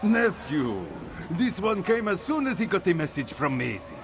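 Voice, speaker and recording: A man's voice: sits high, big pitch swings, normal speed, background noise. A man playing a proud older cat-folk merchant uncle in a video game, speaking formally. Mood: concerned. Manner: speaks of himself in third person, formal. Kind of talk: scripted game dialogue, AI-voiced.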